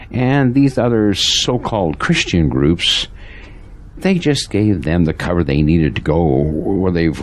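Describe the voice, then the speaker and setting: A man's voice: extremely low pitch, full character voice. A man acting as a devout, troubled pastor in an audio drama, speaking with earnest, preachy conviction.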